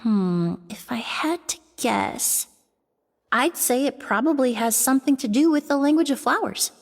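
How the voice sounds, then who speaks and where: A woman playing a thoughtful, polite young perfumer in a video game, measured and explanatory. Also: sits high, expressive, long reverb tail, full character voice. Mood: reflective.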